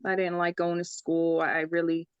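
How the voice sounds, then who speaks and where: A young woman with a New York accent tells her story in a lowish voice, plainly and earnestly. She talks as a psychic medium in a relaxed interview. The sound is close and dry, with no room around it. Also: moderate pace, clear, resonant tone, no echo, lo-fi sound. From the Bronx, New York. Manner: earnest, storytelling, says "I says" for past talk. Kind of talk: casual interview chat.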